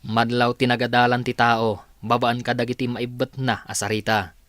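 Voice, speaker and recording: A man's voice: mid-range voice, level tone, normal speed, a bit bright, no echo, close to an everyday voice. A man playing a proud, bossy businessman in an Ilocano radio drama, speaking in a stern, condescending way. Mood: stern, demanding.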